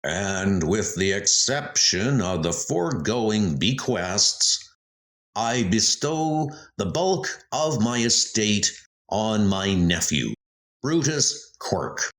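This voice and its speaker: A man's voice: on the low side, animated, slow pace, fairly bright tone, exaggerated voice. A man voicing a polite, hesitant lawyer in a comic ghost story dub, speaking formally. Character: hesitant, deferential male lawyer reading a will.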